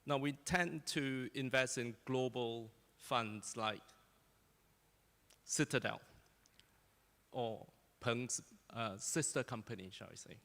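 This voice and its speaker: Gravelly-voiced man moderating a panel. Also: medium pitch, takes it slowly, long pauses, fairly bright tone, big-room sound. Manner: joking, scripted introductions. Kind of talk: panel interview on stage. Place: conference hall.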